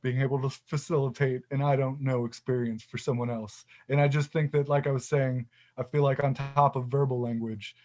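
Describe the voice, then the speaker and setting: Halting man, somewhat monotone, video call. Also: medium pitch. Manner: halting, many restarts, says himself he is monotone. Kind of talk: casual video call chat.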